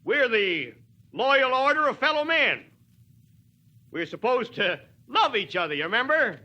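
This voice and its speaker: A man's voice: very high voice, sing-song, measured pace, lo-fi sound, full character voice. A man playing a plainspoken, stubborn American small-town mayor with folksy, wry oratory, in 1940s radio drama style. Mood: wry, challenging. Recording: old 1940s radio broadcast.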